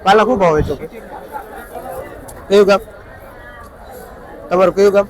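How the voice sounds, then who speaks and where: A man's voice: high voice, normal speed. A man with a Saurashtra Gujarati accent giving matter-of-fact, repetitive vlog commentary on market prices. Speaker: market vlogger reporting produce prices. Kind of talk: on-the-spot vlog commentary with brief questions to vendors. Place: busy outdoor vegetable market. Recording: outdoor market with crowd and vehicle noise. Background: crowd chatter and traffic.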